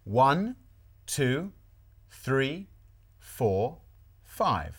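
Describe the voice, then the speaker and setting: A woman hosting a bilingual English lesson, switching between Spanish and English and saying words slowly and clearly as models to repeat. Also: a bass voice, sing-song. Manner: clear, deliberate model pronunciation. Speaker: language teacher host.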